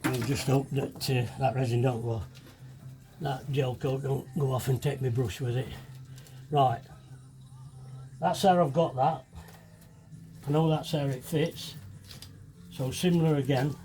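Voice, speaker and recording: A man's voice: mid-range voice, fairly even intonation, measured pace, long pauses, warm tone, slight background noise, rough recording. A man giving a casual hands-on DIY tutorial, chatty and self-deprecating as he thinks aloud while working, slightly sniffly with a cold. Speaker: DIY video maker demonstrating fibreglassing.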